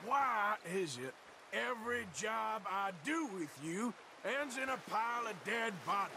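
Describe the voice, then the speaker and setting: Wry outlaw with lively pitch. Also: male voice, a little slow, gravelly, noisy background, exaggerated voice. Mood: wry, businesslike. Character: rugged wry outlaw gang enforcer, world-weary and loyal. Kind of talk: video game cutscene acting.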